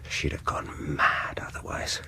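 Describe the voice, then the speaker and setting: An actor playing a sinister, vengeful male dentist in a radio horror drama, gloating and deliberate, lingering on words and dropping into whispers. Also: sharp tone. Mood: bitter accusing.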